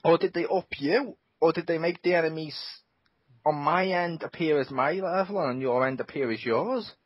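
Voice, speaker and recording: A man's voice: a little high, normal speed, breathy edge, no echo. A man hosting a casual gaming podcast, joking and sarcastic. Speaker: podcast host. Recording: dull lo-fi sound.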